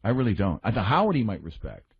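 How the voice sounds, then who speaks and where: Husky-voiced man on talk radio. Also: on the low side, sing-song, fast talker. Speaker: radio show staff member and comedy writer. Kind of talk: casual talk radio discussion. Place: radio studio. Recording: slightly dull, lo-fi radio audio.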